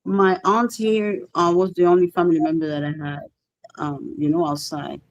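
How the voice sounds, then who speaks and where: Mellow Cuban-accented woman telling stories casually. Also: lowish voice, little pitch movement, moderate pace, dry recording, muffled recording. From Cuba. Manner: informal, peppered with swearing. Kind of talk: casual personal storytelling.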